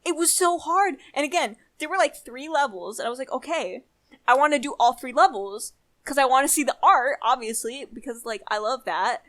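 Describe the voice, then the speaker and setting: High-voiced young woman chatting casually. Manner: animated storytelling, exasperated emphasis with drawn-out words. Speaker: podcast co-host. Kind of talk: casual chat.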